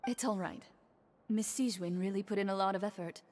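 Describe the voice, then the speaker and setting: Game casting: reserved woman champion fighter, medium pitch, cool and clipped in manner actress performing. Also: a little fast, a little mellow, a little hiss or hum, close to an everyday voice. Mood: composed. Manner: terse, composed. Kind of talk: video game character dialogue.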